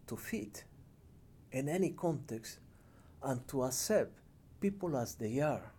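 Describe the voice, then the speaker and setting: A middle-aged man from Ecuador with a Spanish accent, giving reflective interview answers in a measured, halting, earnest way. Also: mid-range voice, expressive, dark tone, slight background noise. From Cuenca, Ecuador. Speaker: international development professional, interviewee.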